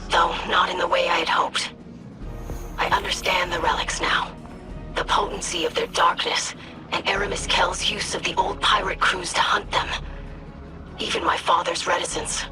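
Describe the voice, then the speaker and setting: A woman voicing an earnest, dutiful young alien scribe in a video game, with formal, measured diction and wounded idealism. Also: fairly low pitch. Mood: resolute. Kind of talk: dramatic video game cutscene acting.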